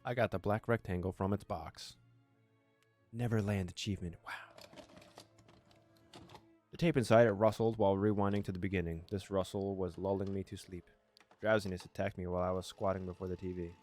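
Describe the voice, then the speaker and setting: A man's voice: low-pitched, steady tone, brisk, long pauses, darkish tone, soft background music. A man doing a casual let's play, reading narration aloud and commenting on it, sometimes tripping over his words. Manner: stumbles over words while reading, self-correcting.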